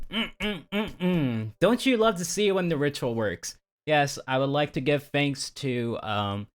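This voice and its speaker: A man's voice: mid-range voice, fairly bright tone. A man streaming a game, chatting casually to viewers in a rambling, geeky, slangy way. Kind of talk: casual livestream chat to viewers while playing.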